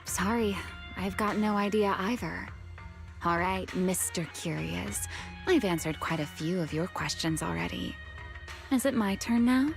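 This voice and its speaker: A woman's voice: on the low side, vocal fry, music in the background. A woman playing a teasing, secretive, long-lived huntress in a video game, coy and poised. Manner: coy, playful, unhurried teasing. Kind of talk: video game story voice acting.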